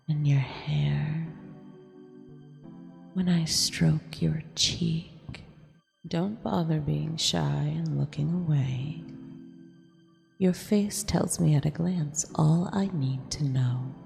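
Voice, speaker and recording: A woman playing a mean, possessive eldritch empress in a half-whispered ASMR roleplay, condescending yet affectionate, with a breathy, creaky delivery. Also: low voice, warm tone, heavily acted. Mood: smug, seductive. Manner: slow, deliberate, condescending purr. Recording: long reverb with music underneath.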